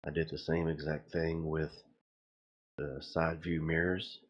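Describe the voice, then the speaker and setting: A man's voice: very deep voice, level tone, measured pace, lo-fi sound. A man giving casual, rambling video commentary in a puzzled, insistent way. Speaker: video host.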